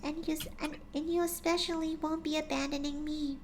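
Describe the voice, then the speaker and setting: A woman reads as a timid young pegasus mare, gentle and hushed, voice sitting high and light. She talks softly and kindly, with faint room noise behind her. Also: little pitch movement, a little fast. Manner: soft, quiet and gentle. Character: gentle shy young female pegasus pony.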